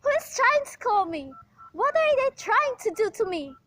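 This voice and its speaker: Actress as demanding, nervy invalid woman for a radio thriller; very high voice, imperious, agitated, repeats herself in exasperation. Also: sing-song, moderate pace, a bit bright, no room sound, full character voice. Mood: anxious, exasperated. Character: nervous, demanding, bedridden invalid woman, panicky and irate. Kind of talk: amateur radio play suspense acting.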